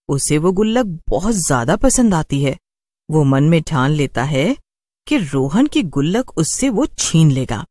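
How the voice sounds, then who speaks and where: A man's voice: high voice, darkish tone, dry recording, clean studio sound. A man narrating a scripted children's horror story as a storyteller.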